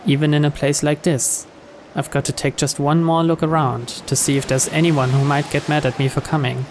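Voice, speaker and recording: A man reading a visual novel aloud as dramatic first-person narration. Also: a little high. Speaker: video game player reading the story aloud. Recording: clear background noise.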